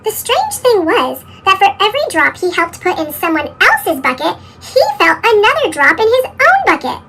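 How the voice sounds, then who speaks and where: A woman reading a children's storybook aloud in an animated style, her voice sped up with a chipmunk effect. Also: moderate pace. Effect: sped-up chipmunk pitch shift. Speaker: storybook reader.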